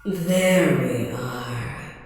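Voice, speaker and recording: A sinister female tyrant, played by a woman, speaks in a low register with very drawn-out, deliberate phrasing. Her breathy delivery often drops toward a whisper, full of theatrical menace. It is an ASMR roleplay steeped in cavernous reverb. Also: a little mellow, faint music behind, exaggerated voice. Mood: sinister, taunting. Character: menacing female empire ruler who conjures victims' worst fears.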